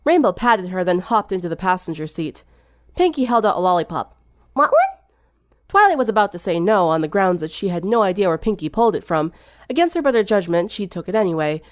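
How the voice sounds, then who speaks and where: Animated young woman narrating a story. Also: lowish voice, quick pace, dry recording, clean studio sound. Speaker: fan fiction narrator.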